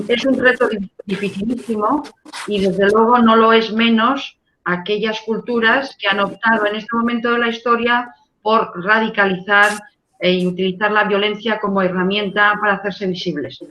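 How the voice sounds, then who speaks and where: Bright, formal panel moderator, somewhat muffled recording. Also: male voice, high-pitched, a little hiss or hum. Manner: formal, courteous, with hesitations. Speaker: conference moderator.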